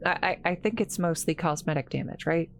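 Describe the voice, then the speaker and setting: A woman playing an awkward, kind scientist in a roleplay audio drama, halting and apologetic, stammering as she talks to the listener. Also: fairly low pitch, slight background noise, lightly acted. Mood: apologetic, flustered.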